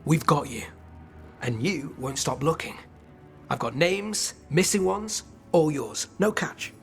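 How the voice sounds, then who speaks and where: High-pitched, spirited gang member. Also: male voice, lively intonation, slow, creaky, fairly bright tone, faint music behind, heavily acted. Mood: rousing. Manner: expansive, teasing. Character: exuberant, flirtatious, loyal underworld gang member. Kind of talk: video game voice acting.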